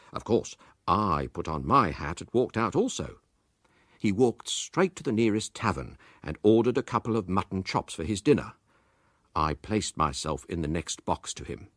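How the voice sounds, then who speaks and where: A man's voice: breathy edge, no echo, studio quality, exaggerated voice. An actor playing a pompous, self-satisfied amateur detective, narrating his report in a precise, theatrical manner. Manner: pompous, precise, highly expressive. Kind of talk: theatrical first-person story narration.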